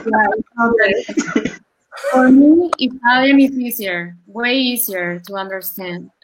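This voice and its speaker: A woman making lively, short remarks in a casual group chat. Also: fairly high pitch, a little slow, noisy background.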